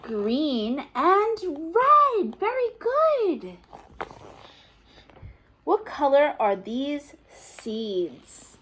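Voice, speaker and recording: A woman's voice: sits high, wildly swooping pitch, drawn-out words, fairly bright tone, a little hiss or hum. A woman teacher reading a picture book aloud to toddlers, asking encouraging questions in a sing-song, exaggerated way.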